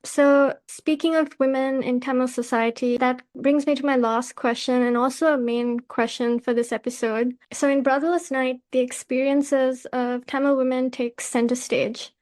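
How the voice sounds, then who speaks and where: A woman's voice: sits high, little pitch movement, moderate pace, hardly any pauses, a little mellow. A woman hosting an interview podcast, politely delivering prepared questions and remarks. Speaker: podcast host.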